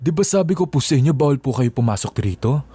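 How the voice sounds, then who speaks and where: A man's voice: mid-range voice, expressive, quick pace, dark tone, husky. A man playing a polite, anxious office attendant with a Filipino accent, speaking deferentially in an audio drama. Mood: anxious, urging. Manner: deferential, urging.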